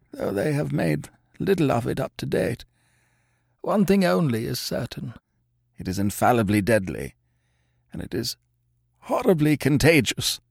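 A man voicing a gravely ill, masterful detective in a croaking, gasping, spasmodic voice. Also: medium pitch, no room sound, studio quality. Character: gravely ill, imperious male detective, feeble yet masterful.

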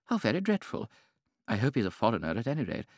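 A fussy, easily shocked duchess voiced by a man in a high, fluttering tone. The pitch leaps about with lively alarm. Close, dry audiobook sound. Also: fast, dry recording. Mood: flustered. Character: flustered old-fashioned duchess, woman.

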